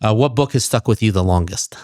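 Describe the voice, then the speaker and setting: A man hosting a casual interview, asking quick questions in an upbeat, easygoing way. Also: lowish voice, darkish tone, no echo, clean studio sound. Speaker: podcast host.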